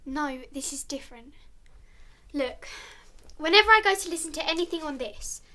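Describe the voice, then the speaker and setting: A woman's voice: sits high, animated, moderate pace, pushed and bright. A female actor playing an earnest, imaginative schoolgirl who insists on being believed, in naturalistic drama dialogue. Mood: earnest, insistent.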